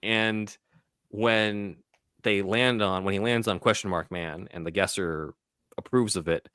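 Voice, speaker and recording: A man's voice: fairly low pitch, fairly even intonation, unhurried, a bit bright, clean tone, dry recording, clean studio sound. A man hosting a podcast, talking casually through a film scene by scene. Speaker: podcast host.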